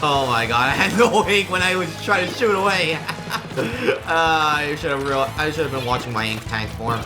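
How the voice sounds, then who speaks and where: Man, fairly high voice, game streamer, informal rambling chat, exclamatory, prominent music behind. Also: lively intonation, moderate pace. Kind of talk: casual gaming stream chat with viewers.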